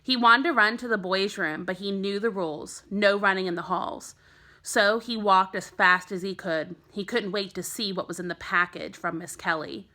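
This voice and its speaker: A woman's voice: medium pitch, steady tone, moderate pace, sharp tone. A woman teacher narrating a children's chapter book expressively for young pupils. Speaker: teacher reading aloud to first graders.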